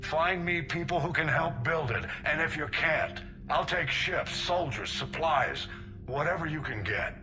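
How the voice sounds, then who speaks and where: A man's voice: fairly high pitch, a little slow, music in the background. An actor playing a resolute senior male military admiral in a video game, direct and commanding in his delivery. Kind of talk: video game character dialogue.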